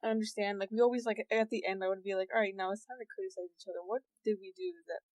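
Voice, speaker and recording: A young adult woman, a guest artist in a casual video-call chat, speaking in a monotone and filling her sentences with "like". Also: mid-range voice, racing. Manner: monotone, filler-heavy "like".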